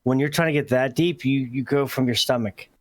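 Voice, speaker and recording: Young male podcast co-host, relaxed, mid-pitched voice, explains things at length. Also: fairly quick, dry recording. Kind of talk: casual chat.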